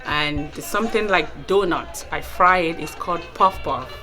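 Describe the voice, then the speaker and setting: A woman's voice: sits low, unhurried. A woman from Nigeria with a Nigerian accent, a small business owner talking plainly in a documentary interview. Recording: music playing underneath.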